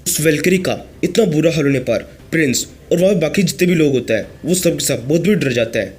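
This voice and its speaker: A hoarse man narrating a comic story recap as the channel's host. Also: fairly high pitch, lively intonation, brisk, darkish tone. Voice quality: husky.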